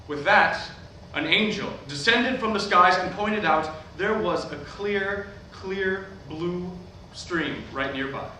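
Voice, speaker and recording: A showy evangelist preacher, acted by a man on stage declaims with a salesman's emphasis. His voice is fairly high and forceful. It comes from far off in a very echoey hall. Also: takes it slowly, full character voice. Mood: solemn storytelling. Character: showy middle-aged evangelist preacher hawking miracle water. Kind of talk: theatrical sermon on a stage. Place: staged reading in a hall, recorded from afar. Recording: distant echoey hall, noisy room recording.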